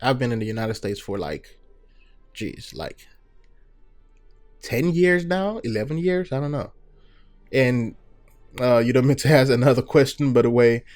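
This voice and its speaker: A young Nigerian man with a Nigerian accent hosts a casual viewer Q&A. His voice sits at a middling pitch, and he talks in a loose, relaxed way, dropping in fillers and "you know" as he goes. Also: a little slow, pauses often, soft background music. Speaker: gaming channel host.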